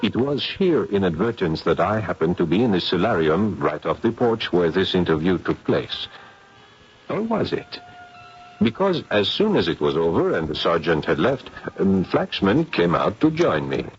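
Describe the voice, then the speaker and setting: Skeptical professor, deep and formal with swooping pitch, old radio drama on a dull recording. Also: male voice, very animated, normal speed, slight background noise. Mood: wry, intrigued. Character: arch, skeptical professor, the investigator's friendly intellectual rival. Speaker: actor narrating in character.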